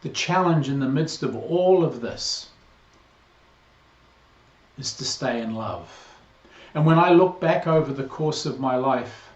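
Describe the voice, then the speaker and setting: Male, 60s, New Zealand accent, parish priest; measured, earnest welcome; mid pitch, roomy slightly muffled recording. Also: animated. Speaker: priest, incoming interim rector.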